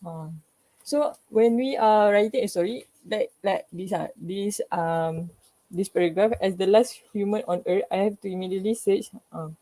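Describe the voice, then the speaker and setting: A woman with a Malaysian accent giving informal feedback to a class, haltingly and often searching for words. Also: medium pitch, mellow, rough recording.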